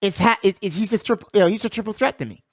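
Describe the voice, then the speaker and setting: A man with a fairly high voice hosts a casual sports show, recorded close and dry. He gives opinionated commentary in slangy, conversational language, often repeating a phrase for emphasis. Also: very fast, no room sound. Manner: slangy, conversational address to listeners.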